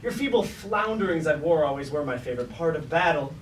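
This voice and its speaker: A man's voice: a little high, lively intonation, fairly quick, close to an everyday voice. An actor playing a scheming, grandiose robot king in a staged tragedy, speaking pompous, archaic theatrical lines. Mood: mocking, smug. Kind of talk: staged reading of a mock Greek tragedy. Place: indoor staged reading, mic far from actor. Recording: roomy, distant, noisy live stage recording.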